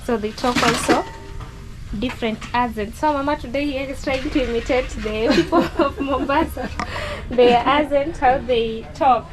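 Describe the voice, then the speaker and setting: A woman's voice: high voice, moderate pace, background noise. A Kenyan woman giving casual vlog narration while filming a family shop kitchen outdoors, explaining things with plenty of "you know" fillers. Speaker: vlogger filming a relative's shop.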